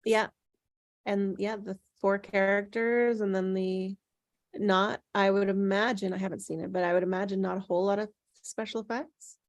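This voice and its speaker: A woman leading a casual group discussion in a chatty, agreeable way, with frequent soft interjections. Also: medium pitch, fairly even intonation, normal speed, no room sound. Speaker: host leading a script table-read discussion.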